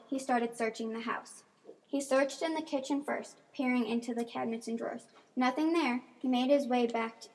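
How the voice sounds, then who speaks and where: A woman's voice: sits high, poor audio. A woman reading scripted narration for an amateur radio mystery.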